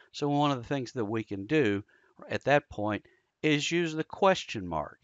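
A man's voice: medium pitch, fairly bright tone, dry recording, highs cut off. An American man giving a casual screen-recorded tutorial, explaining informally step by step. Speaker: amateur radio instructor.

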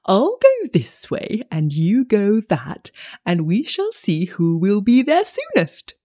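Sly male wolf played by a woman storyteller: hungry, falsely sweet, drawn-out delivery, mellow, storybook villain. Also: medium pitch, wildly swooping pitch, pitched above the performer's own voice, exaggerated voice. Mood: sly, menacing.

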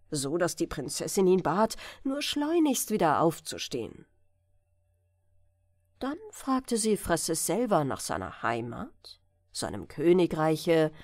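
Slow, melodic woman reading fairy tales. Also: mid-range voice, dry recording, clean studio sound. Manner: expressive, careful storytelling. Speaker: audiobook reader. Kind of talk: bedtime fairy-tale narration, scripted.

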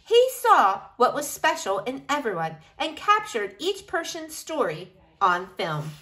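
A woman reading a picture book aloud to children in an expressive, animated way, speaking directly to her young listeners. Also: sits high, measured pace.

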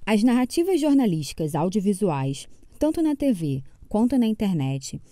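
A young woman reading a scripted presentation to camera in a steady, formal way. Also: medium pitch, no echo.

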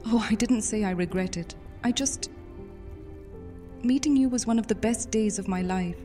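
A woman's voice: medium pitch, moderate pace, dark tone, lightly acted. Motherly vampire commander, acted by a woman over music. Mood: wistful, fond. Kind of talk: immersive audio drama roleplay. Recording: music behind the voice.

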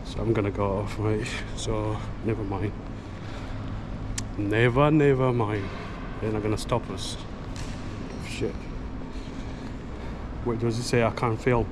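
A middle-aged man speaking casually and haltingly as a travel vlogger, thinking aloud as he goes. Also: fairly low pitch, fairly even intonation. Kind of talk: casual vlog commentary. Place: railway station hall and inside a train carriage. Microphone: action camera at arm's length. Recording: loud ambient station and train noise.

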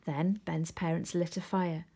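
A woman reading a children's picture book aloud in an expressive storytelling style. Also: on the low side, unhurried, breathy edge. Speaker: storybook reader. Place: indoors at home, seated.

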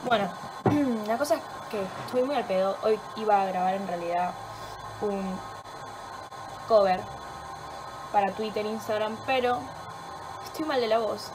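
A woman's voice: medium pitch, a little slow, long pauses, music in the background, low-quality recording. Chatty stuffy-nosed Argentine young woman. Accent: Rioplatense Spanish. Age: young adult. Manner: chatty, rambling and self-mocking, swears freely. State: sore throat and stuffy nose. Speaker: vlogger. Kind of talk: casual vlog chat. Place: home kitchen, indoors.

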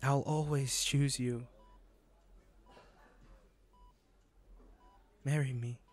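A man playing an injured, devoted boyfriend in an intimate audio roleplay, speaking tenderly, earnestly and haltingly. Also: medium pitch, steady tone, lightly acted. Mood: earnest, pleading. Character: injured, devoted boyfriend proposing from a hospital bed.

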